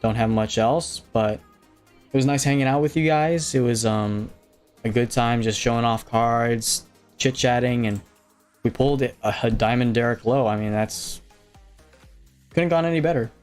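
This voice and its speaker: A man's voice: mid-range voice, normal speed, soft background music. Laid-back Hawaiian man chatting on stream. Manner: rambling, many fillers and restarts, reading chat aloud. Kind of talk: casual live stream chat.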